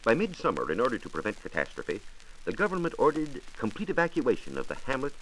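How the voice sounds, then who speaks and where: A man's voice: medium pitch, huge pitch swings, fast talker, fairly bright tone. An American man giving a formal, precise scripted science explanation as a 1940s radio reporter. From United States. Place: radio studio. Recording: noisy vintage 1940s radio transcription disc.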